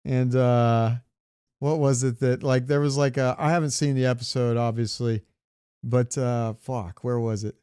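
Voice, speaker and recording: A man with a clear, resonant mid-pitched voice talks casually as a podcast co-host. He is opinionated and informal, and the sound is dry and close. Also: steady tone, measured pace, warm tone, no room sound. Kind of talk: casual pop-culture chat.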